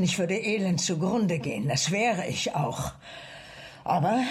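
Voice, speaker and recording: An actress playing a grieving, embittered mother in a German radio drama, telling her past gravely and deliberately. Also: medium pitch, heavily acted. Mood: hushed, grieving. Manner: grave, deliberate, emotional storytelling. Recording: music under the voice.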